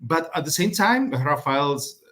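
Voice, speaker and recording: Hesitant doctor on a video call. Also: male voice, on the high side, big pitch swings, unhurried, a bit bright. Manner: hesitant, non-native phrasing. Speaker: doctor, guest. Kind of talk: casual video call interview.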